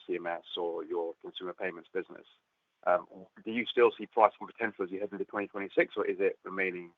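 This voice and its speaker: A man with a very low voice asks his question quickly, filling pauses with "um". He is an analyst calling into an earnings call. The sound is dry and tinny, as on a phone. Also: no room sound. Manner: hesitant, many "um"s. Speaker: analyst caller.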